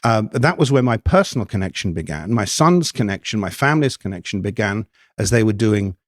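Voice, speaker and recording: An older man from the United Kingdom with an English accent, a podcast guest talking in a thoughtful, measured way with many ums and restarts. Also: fairly low pitch, lively intonation, no echo, studio quality. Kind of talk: podcast interview.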